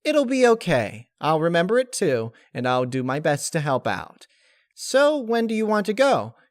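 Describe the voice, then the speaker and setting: A man's voice: a little high, wildly swooping pitch, moderate pace, no echo, clean studio sound, voice pushed up. A man voicing a bubbly, carefree young female pony spirit in a story reading, with perky, gushing delivery. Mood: breezy, reassuring. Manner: perky, gushing, childlike phrasing.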